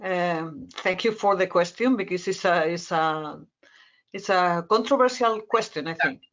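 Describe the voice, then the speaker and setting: Halting Spanish-accented woman explaining. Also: on the low side, fairly bright tone. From Spain. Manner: halting, word-searching explanation with repetitions. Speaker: expert panelist. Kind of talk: conference panel discussion.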